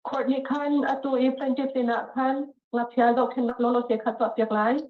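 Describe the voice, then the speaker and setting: A woman working as a court interpreter over a phone line, speaking with hesitant pauses and fillers. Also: high-pitched, steady tone. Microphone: phone call. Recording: tinny echoey phone line.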